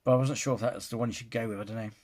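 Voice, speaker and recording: Guy, husky voice, rambling film-reaction vlogger, casual. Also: medium pitch, steady tone, racing, darkish tone. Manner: rambling, restarts sentences, often says "you know". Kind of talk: casual opinion vlog.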